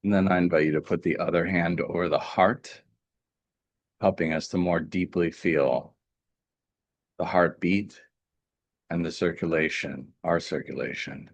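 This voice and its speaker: A man with a very deep, low voice leads a guided meditation. He speaks calmly and gently, leaving deliberate pauses between phrases. The sound is close and dry. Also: no echo. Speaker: meditation teacher.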